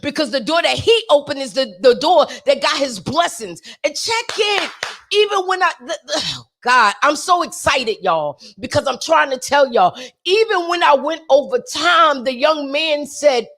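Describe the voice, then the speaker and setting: A woman with a raspy voice gives a fervent, preachy testimony to her livestream viewers, talking straight to them and repeating her points for emphasis. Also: high voice, expressive, a little slow, fairly bright tone. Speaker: singer sharing a testimony with viewers. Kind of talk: preachy motivational livestream talk.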